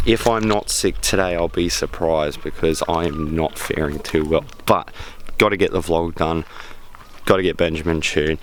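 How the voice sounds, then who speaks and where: A sick Australian man with a rough voice chats easily to his camera in an unhurried vlog style. His voice sits extremely low. Traffic and engine noise come through clearly on the handheld recording. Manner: chatty, self-deprecating, easygoing. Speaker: vlogger. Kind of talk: casual vlog talk to camera. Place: early morning outdoors and in a car. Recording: noisy outdoor vlog audio with vehicle sounds.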